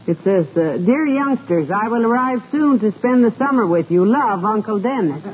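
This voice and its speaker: An actress playing a patient, gently wry middle-aged housewife in a 1940s radio comedy. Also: female voice, fairly high pitch, expressive, moderate pace, natural voice. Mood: curious. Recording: noisy, muffled old-time radio recording.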